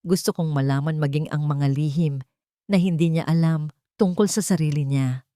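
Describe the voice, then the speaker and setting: A wealthy, commanding matriarch from a romance story, as a woman narrator reads her. She gives orders in a fairly low, calm and steely voice. The recording is dry and close. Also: moderate pace, no echo, lightly acted. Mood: cold command.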